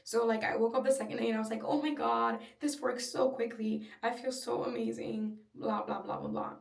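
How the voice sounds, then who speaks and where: A young woman with a slightly breathy voice, chatting openly and personally in a casual home vlog. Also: on the high side, steady tone, brisk, distant mic. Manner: chatty, filler-heavy, open and personal. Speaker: vlogger. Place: at home, in her room. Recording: roomy echo, a little off-mic.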